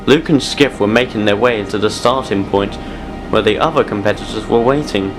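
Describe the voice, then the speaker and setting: A man's voice: fairly low pitch, normal speed, over music. A man reading scripted narration for a children's style audio drama story.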